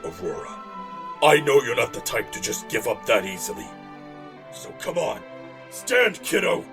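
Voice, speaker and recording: A man playing a doting, gruff older uncle, theatrical and heartfelt, in an amateur audio drama. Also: on the low side. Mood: rousing.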